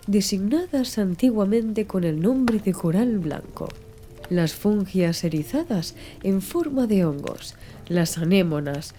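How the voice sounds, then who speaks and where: A woman narrating a literary audiobook in a measured, expressive storytelling manner. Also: medium pitch. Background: music under the voice.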